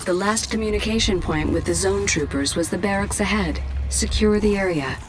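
A woman's voice: medium pitch, normal speed, background noise, natural voice. A woman voicing an impersonal military battle computer in a game, giving briefings and status alerts in a clipped, formal manner. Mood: impersonal, businesslike. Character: calm female military battle computer announcer. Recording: game music behind the voice.

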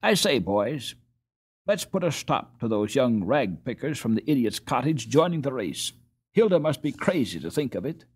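A man's voice: mid-range voice, big pitch swings, normal speed, darkish tone, breathy edge. A man voicing a scornful, bullying teenage boy in a storybook, with a blustering, taunting manner.

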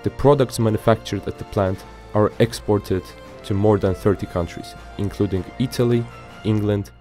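Male narrator for an industrial report: low register, formal, measured scripted read, faint music bed. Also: warm tone.

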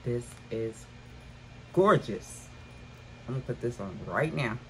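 A woman's voice: very deep voice, expressive, slow, a little mellow, a little hiss or hum, poor audio. A woman in her fifties chatting casually to viewers from her bedroom about items she bought, calling them y'all. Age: 50s. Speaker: haul vlogger. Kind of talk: casual haul chat. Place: bedroom at home.

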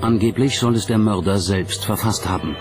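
A man's voice: on the low side, moderate pace. A man narrating a documentary from a script. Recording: music under the voice. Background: background music.

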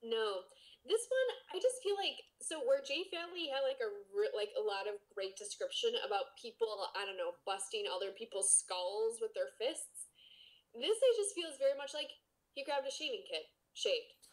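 A woman reads a story aloud as a casual podcast co-host. Her voice sits a little high and moves widely in pitch. She is expressive and playful, slipping easily between narration and banter. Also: moderate pace, poor audio.

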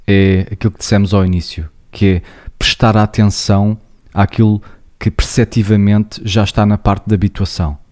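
A man's voice: fairly low pitch, little pitch movement, moderate pace, a little mellow. A young man with a European Portuguese accent, co-hosting a podcast, explaining ideas earnestly and talking straight to listeners. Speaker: podcast co-host, psychologist. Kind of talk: casual podcast chat.

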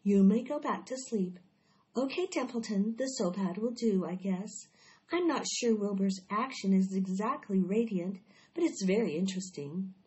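A woman's voice: mid-range voice, normal speed, mellow, muffled recording, close to an everyday voice. A woman voicing a wise, patient female spider in a storybook, polite and firm. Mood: fond.

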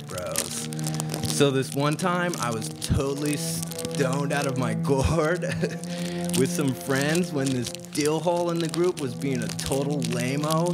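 A man's voice: mid-range voice, natural voice. A man in his twenties playing an easygoing young stoner camper with drawling slacker slang in a live radio play. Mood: excited. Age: 20s. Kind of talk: live student radio theatre. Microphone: stand microphone. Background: music.

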